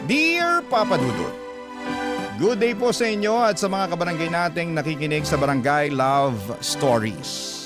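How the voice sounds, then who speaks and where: Loud guitar music plays under a Filipino man's voice, pitched slightly high. He narrates like a classic radio storyteller, earnest and measured, addressing listeners directly as he reads. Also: sing-song. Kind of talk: dramatic letter reading, classic radio storytelling. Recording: prominent background music.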